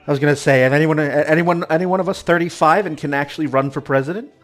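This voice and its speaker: A man with a slightly higher voice hosting a casual gaming podcast panel. He laughs a lot and stumbles through halting phrases while he passes the floor to others. Faint music plays under the talk. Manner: laughs often, halting, hands turns to others.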